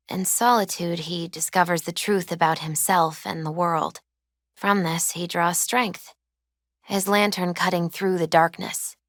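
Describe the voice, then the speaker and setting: A wistful young woman reads tarot as a video game character. Her voice sits a little low and is gentle and measured, with an earnest, spiritual air tinged with grief. The sound is very dry and intimate. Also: dry recording.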